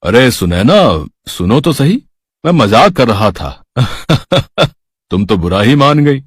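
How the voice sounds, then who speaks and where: A man voicing a good-humoured middle-aged Indian husband and father in a cartoon story, husky, coaxing and wry. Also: medium pitch, very animated, slow pace, no room sound, exaggerated voice. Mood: teasing, coaxing.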